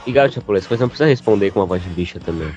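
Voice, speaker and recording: A Brazilian man leading a casual podcast with teasing, mock-formal remarks. His voice is on the low side. The recording is dull and lo-fi. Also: lively intonation, racing. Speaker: podcast host. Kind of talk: casual joking banter.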